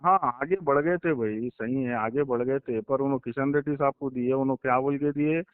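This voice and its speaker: A man's voice: mid-range voice, brisk, clean tone, no echo. Insistent Hyderabadi man on a tinny phone line. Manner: insistent, repeats himself and presses points with questions. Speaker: political insider giving his account to a journalist. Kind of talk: informal phone conversation. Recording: tinny recorded phone call.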